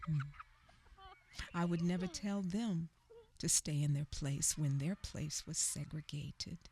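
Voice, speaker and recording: An actress in a radio theater play, voicing a grown woman who recalls a hard childhood with quiet resolve. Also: low-pitched, slow pace. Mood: resolute.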